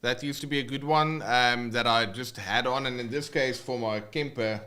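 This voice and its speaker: Casual South African male guitar teacher, bright tone, loose and rambling on a livestream. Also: mid-range voice, fairly even intonation, moderate pace. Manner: loose and rambling, many fillers and restarts.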